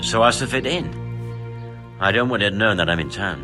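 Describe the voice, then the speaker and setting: An actor playing a brisk, shrewd medieval master in a video game, with a measured, commanding manner. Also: male voice, fairly low pitch, animated, natural voice. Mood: guarded, secretive. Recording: music behind the voice.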